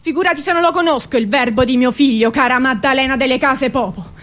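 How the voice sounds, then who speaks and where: Harried working-class mother, played by a woman: high voice, aggrieved, repetitive colloquial lament, theatre monologue. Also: fast talker, a bit bright. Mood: bitter, indignant. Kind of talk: stage monologue.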